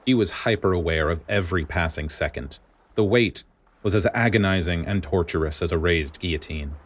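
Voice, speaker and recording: A man reading a story aloud as dramatic scripted narration, in a grave, deliberate manner. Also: deep voice. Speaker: podfic reader.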